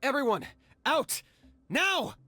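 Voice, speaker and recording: A man's voice: very high-pitched, big pitch swings, takes it slowly, bright, forceful tone, exaggerated voice. A man voicing a terse, determined young hunter hero in a video game, clipped and commanding. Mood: urgent. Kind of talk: game combat voice acting. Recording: game music behind the voice.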